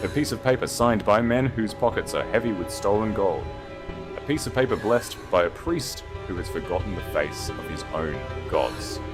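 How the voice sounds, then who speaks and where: A man voicing a calm, commanding young queen, measured and coldly unyielding, in an audiobook fantasy romance. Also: fairly low pitch, over music, natural voice. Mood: cold scorn.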